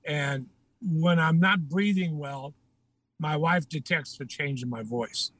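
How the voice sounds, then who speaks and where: An older man with a Texan accent tells stories as a guest, laughing often. His voice sits high for a man and his voice is slightly changed by breathing trouble. The sound is dry and close. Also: slow pace, fairly bright tone, dry recording. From Texas. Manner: laughing, anecdotal storytelling. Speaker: guest, former TV news anchor. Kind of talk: casual interview.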